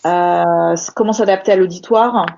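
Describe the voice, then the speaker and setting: A woman's voice: lowish voice, normal speed, gravelly, a little mellow, slight background noise. A woman moderating a webinar, reading out audience questions with hesitant fillers. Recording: muffled webinar audio.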